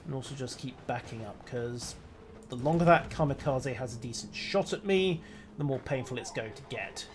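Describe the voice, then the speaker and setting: A man's voice: mid-range voice, normal speed, a little hiss or hum. A man streaming a naval battle game, talking himself through each move with dry, wry asides. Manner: dry, wry, muttering self-commentary. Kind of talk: live gameplay commentary.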